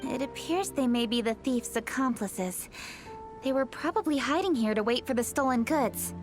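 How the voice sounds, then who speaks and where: A woman's voice: on the high side, moderate pace, close to an everyday voice. A woman voicing a poised, dutiful young woman official in a video game, speaking formally and with self-assurance. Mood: formal, composed. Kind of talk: English video game voice acting. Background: background music.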